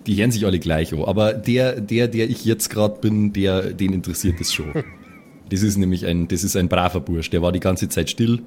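A man's voice: deep voice, fairly quick, a little mellow. A man bantering casually as a player in a role-play podcast. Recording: faint background noise.

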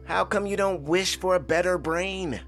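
A man's voice: sits high, normal speed, fairly bright tone, soft background music. An actor playing a gullible, self-satisfied man with a naive, earnest delivery in a comedy-horror radio play. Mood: puzzled.

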